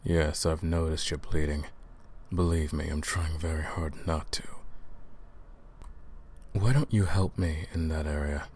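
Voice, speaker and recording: A man playing a centuries-old vampire hunter in a roleplay audio drama, stern and commanding, speaking deliberately and questioning the listener. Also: very deep voice, fairly even intonation, a little mellow, a little hiss or hum. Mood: probing, dry.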